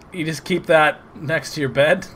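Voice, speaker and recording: A man giving casual, excitable, sarcastic commentary over a video game playthrough, swearing often. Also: a little high, slight background noise. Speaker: gameplay commentator. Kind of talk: casual let's play commentary.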